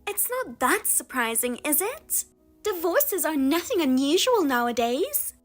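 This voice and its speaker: A woman voicing a spiteful younger sister who steals husbands, with a high, sing-song, mocking manner. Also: sing-song, soft background music. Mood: taunting. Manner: mocking, self-satisfied, drawn-out teasing. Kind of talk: narrated drama voice-over.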